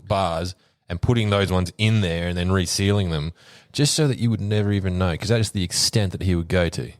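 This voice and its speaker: An Australian man hosting a podcast in casual chat, with a booming voice and a jokey, sweary manner. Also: fairly quick, dry recording, clean studio sound. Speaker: podcast host.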